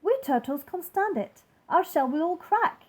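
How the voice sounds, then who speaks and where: Woman as a high-voiced, pleading little turtle. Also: lively intonation, moderate pace. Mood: pained, pleading. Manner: polite, pleading, groaning complaint. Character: plain, humble, long-suffering little male turtle.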